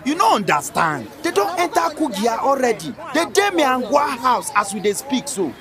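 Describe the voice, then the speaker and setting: A man playing a stubborn, excitable village rumour-monger in a Pidgin radio drama, with a Nigerian accent and an argumentative, insistent manner. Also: very high-pitched, moderate pace, exaggerated voice. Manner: argumentative, insistent, repeating himself. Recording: busy crowd and music behind.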